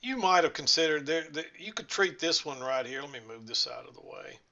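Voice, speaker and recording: A man's voice: on the high side, expressive, fairly quick, pushed and bright, muffled recording. A man reviewing trading charts, rambling folksily as he thinks aloud, his voice a little scratchy. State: slightly scratchy voice. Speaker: trading instructor. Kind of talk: screen-share chart walkthrough.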